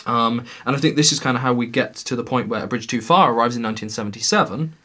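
A man hosting a relaxed film podcast. He talks on and on, leaning on fillers like "uh" and "kind of" as he builds his points. His voice sits at an ordinary middle pitch. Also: fast talker. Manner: talkative, frequent fillers and hedges. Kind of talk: casual film review chat.

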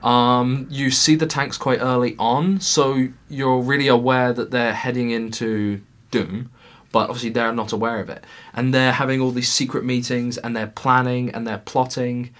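A man hosting a relaxed film podcast. He talks on and on, leaning on fillers like "uh" and "kind of" as he builds his points. His voice sits at an ordinary middle pitch. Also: moderate pace. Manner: talkative, frequent fillers and hedges. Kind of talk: casual film review chat.

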